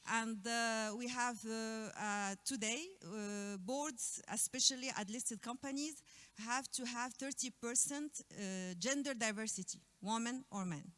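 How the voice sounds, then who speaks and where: A woman's voice: on the high side, measured pace, fairly bright tone. A woman from Morocco in the audience at a conference panel, making a comment in a hesitant way with many fillers and restarts. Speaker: audience member. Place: conference hall.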